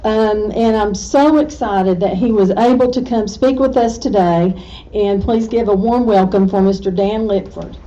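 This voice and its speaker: A woman's voice: medium pitch, normal speed, warm tone. A woman hosting an event gives an effusive, conversational introduction of a speaker to an audience. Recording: dull, muffled audio.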